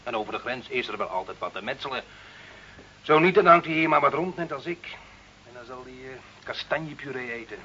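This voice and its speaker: A man's voice: medium pitch, animated, brisk, pushed and bright. A man playing a poor, stubborn Italian village bricklayer in a radio play, blustering, sarcastic and always ready to argue. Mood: bitter grumbling. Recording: dull, noisy old radio recording.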